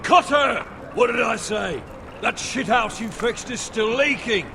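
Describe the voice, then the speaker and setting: A man's voice: very high voice, lively intonation, a little slow, creaky, sharp tone, full character voice. An actor playing a foul-mouthed, bad-tempered man barking orders in a video game. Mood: irate. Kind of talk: dramatic video game voice acting. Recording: faint background ambience noise.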